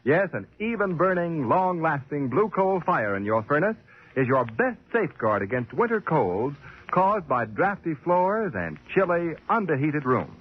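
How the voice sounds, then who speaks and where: Old time radio announcer guy doing a sales pitch, very lively, husky, muffled recording. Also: unhurried. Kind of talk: 1940s radio advertising read.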